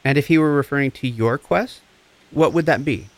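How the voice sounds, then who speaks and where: An actor playing a gentle, encouraging empath therapist, speaking in a reassuring, deliberate way in a scripted audio drama. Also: male voice, medium pitch, dry recording, lightly acted. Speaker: actor in a fiction podcast.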